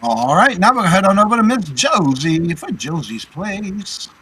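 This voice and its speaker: A man hosting a live auction stream, briskly passing the turn between sellers. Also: high voice, very animated, pushed and bright, breathy edge. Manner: brisk, directive. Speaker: auction show host.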